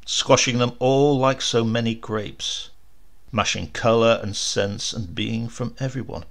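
A man's voice: medium pitch, gravelly. A man narrating a literary story as a dramatic audiobook reader.

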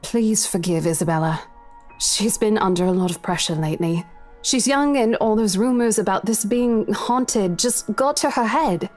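A man voicing a calm, apologetic young woman who tries to smooth things over. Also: high-pitched, normal speed, voice pushed up, natural voice. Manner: apologetic, soothing. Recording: game music behind.

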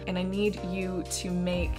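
A woman running a tabletop fantasy game as game master, narrating in measured, descriptive phrases like a storyteller. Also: on the low side, level tone. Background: background music.

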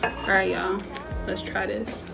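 A woman's voice: medium pitch, little pitch movement, slow pace, gravelly, music in the background. A young woman in her twenties chatting casually as she walks through a home cooking recipe, with lots of fillers. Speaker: cooking vlogger. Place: home kitchen, then a small bright bedroom.

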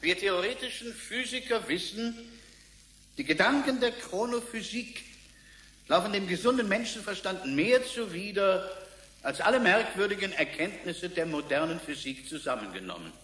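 A celebrated physicist at a lectern in a radio play. The voice is high and forceful, declaiming with pompous gravity. It rings with echo, as in a hall. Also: male voice, expressive, moderate pace. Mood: solemn, declamatory. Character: eminent male physicist giving a grand, pathetic inaugural address. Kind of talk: formal public speech in radio drama. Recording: echoing hall, faint noise.

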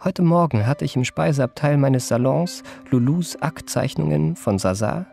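Creaky-voiced urbane gentleman narrator. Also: fairly high pitch, animated, faint music behind. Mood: self-satisfied. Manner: ornate, measured literary phrasing. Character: urbane, self-satisfied gentleman recounting his memoirs. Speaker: first-person narrator. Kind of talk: scripted radio drama narration.

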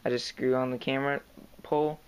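A young man quietly showing off his kayak fishing gear in a casual, hesitant home vlog, filmed on a handheld camera indoors. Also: medium pitch, flat delivery, a little fast, lo-fi sound. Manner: soft-spoken, hesitant, lots of fillers. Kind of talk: casual show-and-tell vlog. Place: indoors, carpeted room at home. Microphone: handheld camera close to the gear. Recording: noisy home camera recording.

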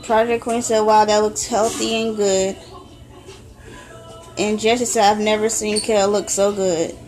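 A woman's voice: mid-range voice, little pitch movement, a little slow, darkish tone, faint music behind, rough recording. Woman reading out comments nearby. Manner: reads out comments plainly. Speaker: helper reading viewer comments aloud. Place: home kitchen.

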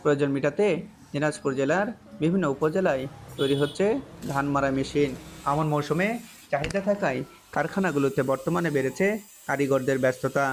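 A man narrating a television news report in a measured, steady way. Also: a little high, a little hiss or hum. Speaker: field reporter.

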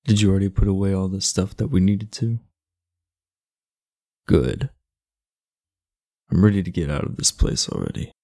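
A man playing an aloof, irritable, sleepy teenage delinquent in an ASMR roleplay, blunt, sardonic and guarded, with a low, gravelly voice. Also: normal speed, long pauses, mellow, no echo, studio quality. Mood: curt, impatient. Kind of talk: ASMR roleplay, speaking to the listener.

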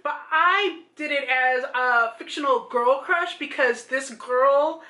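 Woman, bright higher voice, casual book vlogger, gushing emphasis, roomy home recording. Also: slow. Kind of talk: casual chat about books. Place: small room at home. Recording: roomy home video sound.

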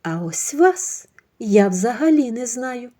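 A woman voicing an angry young wife who speaks firmly and in measured, clipped phrases. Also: on the high side, big pitch swings, mellow, voice pushed up, exaggerated voice.

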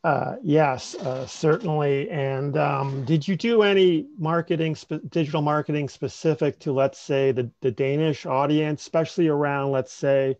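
A man in his forties moderating an online panel from a home study, speaking in a measured, hesitant way with many fillers. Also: a little high, a little mellow, no echo. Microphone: webcam mic on video call. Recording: dry, slightly dull video call audio.

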